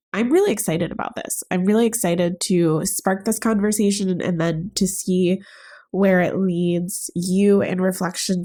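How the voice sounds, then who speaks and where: A young woman with a stuffy nose from a summer cold, hosting a reflective solo podcast in an earnest, gentle way. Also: on the low side. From United States. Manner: earnest, gentle, thinking aloud. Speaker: podcast host.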